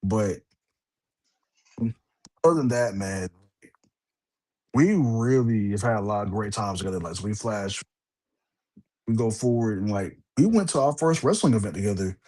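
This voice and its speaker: A man's voice: fairly low pitch, expressive, moderate pace, pauses often, a little mellow. A man hosting a wrestling podcast in casual chat, rambling with lots of fillers and restarts. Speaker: podcast host.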